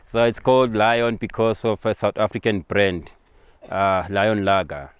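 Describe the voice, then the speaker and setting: Low, clear Swazi-accented man guiding a tour. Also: steady tone, measured pace, no room sound. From Eswatini. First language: Siswati. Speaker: local tour guide. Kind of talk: spoken guided tour explanation.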